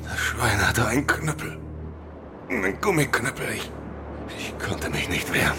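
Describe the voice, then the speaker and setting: A man's voice: medium pitch, wildly swooping pitch, moderate pace, creaky, music in the background. An actor playing a badly beaten, frightened man in pain, weak and gasping, in a German audio drama. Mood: weak, urgent.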